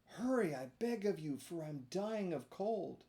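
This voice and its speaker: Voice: lively intonation, unhurried, warm tone. The reader voicing a young marionette boy who pleads, begs and loses his temper in a storybook reading. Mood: pleading. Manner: high, urgent pleading.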